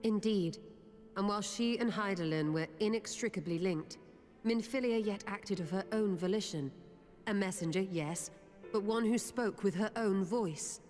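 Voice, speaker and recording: A woman's voice: medium pitch, moderate pace, darkish tone, lightly acted. A woman playing a composed, exacting scholar mage in a fantasy game, speaking formally, precisely and with measure. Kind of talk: scripted fantasy game dialogue. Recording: long reverb, faint music.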